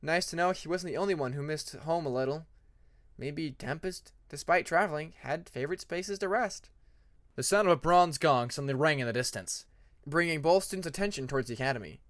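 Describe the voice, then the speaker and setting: Man narrating, slightly high, dry sound. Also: fairly quick, no echo. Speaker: amateur audiobook narrator. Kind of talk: scripted story narration.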